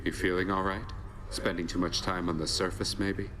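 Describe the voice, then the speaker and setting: Man as a low-voiced, reserved death god. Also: level tone, moderate pace, music in the background, close to an everyday voice. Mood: dry, skeptical. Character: dry, reserved young male god of death. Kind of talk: video game character dialogue.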